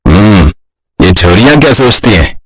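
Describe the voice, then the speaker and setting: A man's voice: fairly low pitch, big pitch swings, normal speed, no echo. A man playing an arrogant, jealous, bullying male pizza vendor in a cartoon story. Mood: scornful, boastful. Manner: scornful, bullying. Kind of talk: Hindi cartoon story acting.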